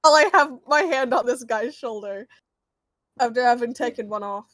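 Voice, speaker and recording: A woman playing a tabletop roleplaying game, talking casually and excitably as she improvises her character. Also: a little high, normal speed, a crowd in the background.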